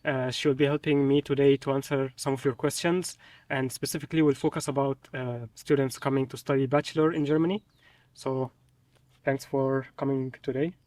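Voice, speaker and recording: A man's voice: mid-range voice, steady tone, brisk, a little mellow, no echo, far from the mic. A man hosting a casual interview, speaking conversationally and checking details as he goes. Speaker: host, interviewer.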